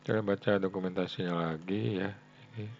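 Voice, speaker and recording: A man's voice: low voice, fairly even intonation, a little fast, darkish tone. A man thinking aloud through a casual screen-share tutorial, addressing his viewers informally as friends. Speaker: web design tutorial host. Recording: dull lo-fi mic, background hum.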